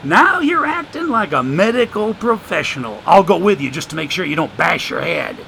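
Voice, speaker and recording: A man doing a high, wisecracking homeless man. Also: sing-song, normal speed, bright, forceful tone, slight background noise, exaggerated voice, rough recording. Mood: mock-offended. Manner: drawling, sarcastic, rough grammar.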